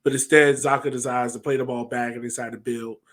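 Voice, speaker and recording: A man's voice: mid-range voice, little pitch movement, fairly quick, a bit bright. A man in his thirties co-hosting a casual sports discussion over a video call, speaking from a room at home. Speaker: podcast co-host.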